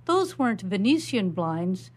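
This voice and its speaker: A woman's voice: mid-range voice, very animated, a little slow. A woman author reading her own first-person short story aloud.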